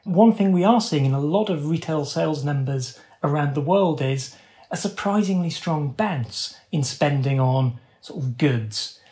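A man's voice: fairly high pitch, animated, unhurried, mellow. A man giving conversational economic analysis as an interview guest, explaining in informal, nuanced phrasing.

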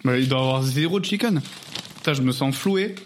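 A man's voice: mid-range voice, fairly quick, slight background noise. Laid-back man, easy laughs. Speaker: podcast co-host, singer. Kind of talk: casual drinking chat.

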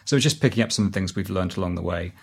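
A man's voice: sits low, quick pace, husky. A middle-aged man who writes and designs sound, chatting casually as an interview guest.

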